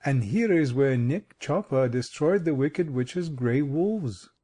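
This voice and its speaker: A man's voice: medium pitch, animated, slow, warm tone. A man voicing a kindly living scarecrow king in a storybook, reflective, courteous and reassuring in manner. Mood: fond, reminiscing.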